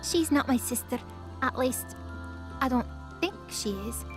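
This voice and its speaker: A woman's voice: sits high, lively intonation, a little slow, music in the background. A woman playing a childlike, earnest young female character in an audio drama, speaking hesitantly. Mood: sheepish.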